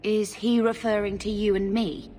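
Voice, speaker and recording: A woman's voice: mid-range voice, flat delivery, normal speed, mellow, a little hiss or hum, natural voice. An actress playing an earnest, anxious young woman in a video game, with a questioning manner. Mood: earnest concern. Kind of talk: dramatic video game acting.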